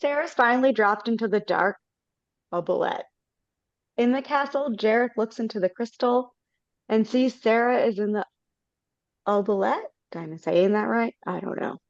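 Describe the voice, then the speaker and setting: A woman's voice: a little slow, pauses often, no echo. A woman reading stage directions in a live video-call table read, with casual chatty asides. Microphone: video call mic. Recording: dull low-fidelity call audio.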